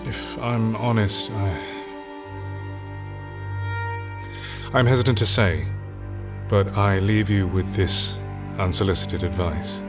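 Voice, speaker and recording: A man performs a grave scholar looking back on his life's research in an audio drama. His voice is very low, measured and reflective, with heavy music behind it. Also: natural voice. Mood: hesitant. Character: solemn weary male scholar, archaeologist and historian. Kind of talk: dramatic fiction podcast monologue. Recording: voice under prominent music.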